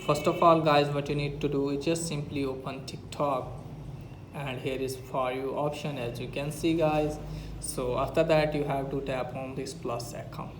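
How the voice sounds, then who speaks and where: A man's voice: medium pitch, flat delivery, moderate pace. A man narrating a step-by-step phone app tutorial with repetitive instructional phrasing. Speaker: tech tutorial YouTuber. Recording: roomy echo, faint background noise.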